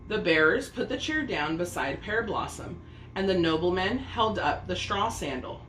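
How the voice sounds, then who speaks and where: A woman reading a picture book aloud as a story time host, expressive and speaking to young listeners. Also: on the low side, normal speed. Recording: echoey room with faint background noise.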